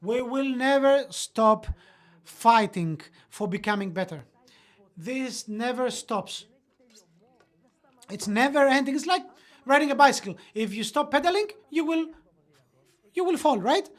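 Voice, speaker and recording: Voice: high-pitched, animated, measured pace, pauses often. A speaker giving an earnest motivational talk as a voice-over interpreter, with hesitant fillers and restarts.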